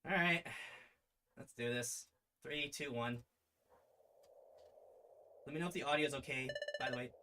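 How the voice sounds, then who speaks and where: A man hosting a livestream read-along in a casual, halting, self-deprecating way. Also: mid-range voice, noisy background. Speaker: streamer hosting a live reading of their own series.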